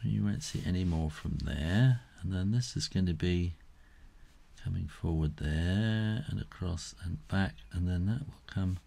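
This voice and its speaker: Low-pitched, slow, gravelly man teaching drawing. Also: dark tone. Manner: unhurried, thinking aloud. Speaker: drawing tutor. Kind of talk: casual step-by-step drawing tutorial.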